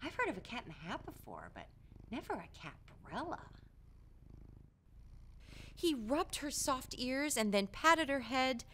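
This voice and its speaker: A woman in her thirties reads a picture book to young children. Her voice is high and swings widely in pitch, and she takes her time over each line. She is playful and emphatic, adding chatty asides. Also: very animated, measured pace, long pauses, a bit bright, a little hiss or hum. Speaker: children's storybook reader.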